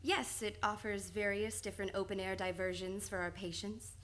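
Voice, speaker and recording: A woman playing a young, earnest psychiatrist with formal, measured speech in an old-time radio play. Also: mid-range voice, fairly even intonation, fairly bright tone, natural voice. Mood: polite. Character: young, reserved, earnest female psychiatrist, precise and clinical.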